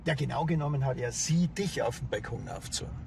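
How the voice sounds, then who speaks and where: An actor playing a laconic, unbothered small-town police officer. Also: male voice, mid-range voice, lively intonation, quick pace, vocal fry, background noise. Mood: dry, unbothered.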